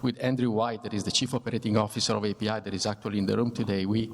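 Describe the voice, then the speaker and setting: Italian-accented man, low voice in a hall. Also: little pitch movement, very fast. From Italy. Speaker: panelist, chief information officer. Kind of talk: panel discussion, semi-formal. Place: event room before an audience. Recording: reverberant hall, faint background noise.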